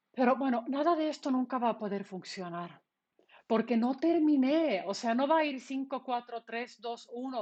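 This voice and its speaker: A woman's voice: on the high side, a little mellow, muffled recording. Woman teaching coding. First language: Finnish. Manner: explains step by step, asking and answering her own questions. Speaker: university lecturer. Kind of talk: online programming lecture.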